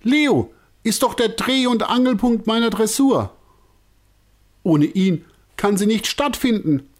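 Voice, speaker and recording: A frantic, wailing circus director. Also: male voice, slow pace. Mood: distraught. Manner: frantic, lamenting, high and strained. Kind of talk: storybook character voice.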